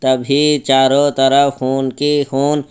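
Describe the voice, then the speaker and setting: A man's voice: mid-range voice, monotone, takes it slowly, clear, resonant tone. A man narrating a scripted horror story as its storyteller.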